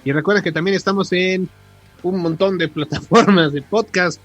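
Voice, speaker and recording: Husky Mexican man hosting a podcast. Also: high-pitched, normal speed, fairly bright tone. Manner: slangy, profane, joking. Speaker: podcast host. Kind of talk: casual banter and chat. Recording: podcast with music playing.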